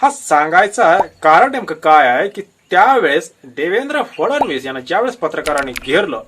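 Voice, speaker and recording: A man's voice: fairly high pitch, sing-song, moderate pace, background noise. A man with a Maharashtrian Marathi accent giving unscripted political commentary to viewers, explaining events in an emphatic storytelling way with rhetorical questions.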